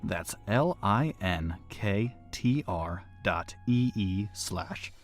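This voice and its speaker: A man's voice: lowish voice, expressive, extremely slow. A man reading scripted credits and an outro as the show's producer. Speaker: writer and producer announcing credits. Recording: music under the voice. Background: music.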